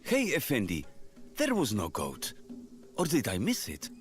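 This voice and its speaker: Theatrical, wildly swooping shopkeeper. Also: male voice, medium pitch, huge pitch swings, measured pace, music in the background. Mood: baffled. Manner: theatrical, addresses customer as Effendi. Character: shifty, secretive male merchant of caffeine shampoo. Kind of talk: video game character dialogue.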